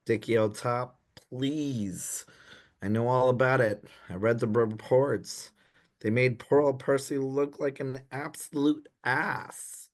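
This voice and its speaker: An actor playing an anxious, dim young gentleman in a comic period radio play, dithering and apologetic in posh old-fashioned slang. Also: mid-range voice, takes it slowly, natural voice. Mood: pained. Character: anxious, dim young upper-class Edwardian gentleman in trouble.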